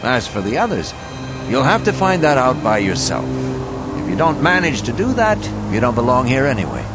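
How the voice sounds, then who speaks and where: Shrewd, instructive male veteran convict for a game, played by a man, animated mid voice, music underneath. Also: medium pitch, normal speed, close to an everyday voice. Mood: self-assured. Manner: instructive, self-assured. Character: confident, shrewd male camp mentor. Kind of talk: video game dialogue. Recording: music behind the dialogue.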